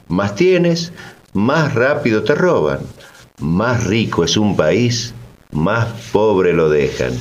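An actor playing a sardonic storyteller and host in a satirical radio drama, colloquial and ironic with a mock-friendly manner. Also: male voice, fairly low pitch, exaggerated voice. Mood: sardonic, indignant. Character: wry, sardonic male storyteller who interrogates historical villains. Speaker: narrator and host.

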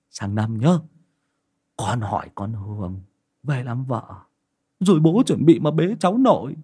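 A man's voice: mid-range voice, very animated, slow, long pauses, mellow, breathy edge, full character voice. A man voicing a lazy, cheeky young village son who whines and boasts. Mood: boastful.

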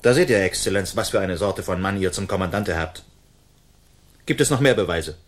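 A bold masked swordsman outlaw, lowish voice, formal n commanding, radio drama. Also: animated, moderate pace. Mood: defiant, commanding. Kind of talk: German radio play acting.

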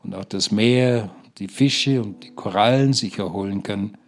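An actor playing a stubborn, chatty retired husband who talks in a rambling, dryly persuasive, colloquial way. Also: male voice, lowish voice, moderate pace, close to an everyday voice. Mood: wry, ranting. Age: older. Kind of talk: satirical radio drama monologue, conversational.

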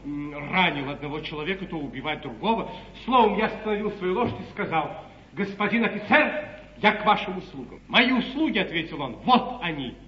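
High-voiced earnest young officer. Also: male voice, a little hiss or hum. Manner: earnest, declamatory storytelling. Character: earnest, naive young English guards officer. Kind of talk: 1950s theatrical comedy stage acting. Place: theatre stage, live performance. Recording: distant, echoey, muffled 1950s theatre broadcast.

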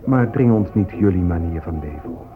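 Proud low-voiced Native chief. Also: male voice, expressive, fairly quick. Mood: firm indignation. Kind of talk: solemn radio drama oration. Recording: muffled phone-like old radio recording. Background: music.

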